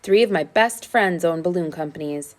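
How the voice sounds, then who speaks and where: A woman's voice: on the low side, normal speed, close to an everyday voice. A woman voicing a friendly, easygoing man who pilots balloons in a storybook, folksy and reassuring.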